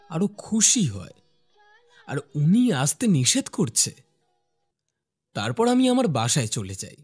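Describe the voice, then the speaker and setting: A man's voice: fairly high pitch, a little mellow, husky, heavily acted. A man voicing a curious young office worker who narrates his own ghost story in a cartoon, with acted dialogue. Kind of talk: scripted first-person narration with acted dialogue.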